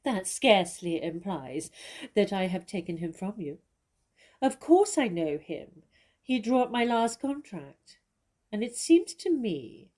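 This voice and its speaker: Poised, mellow-voiced grand stage actress played by a woman. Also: on the high side, full character voice. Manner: measured, haughty, composed. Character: famous stage actress, poised, cool, worldly and condescending. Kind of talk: stage-style dramatic dialogue.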